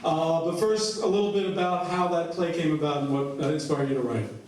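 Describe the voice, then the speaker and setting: A man's voice: on the high side, fairly even intonation, brisk, darkish tone. A man informally hosting a discussion from a stage as moderator. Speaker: moderator of author talkback. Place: indoor stage at an arts venue. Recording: echoey noisy hall, distant mic, crowd.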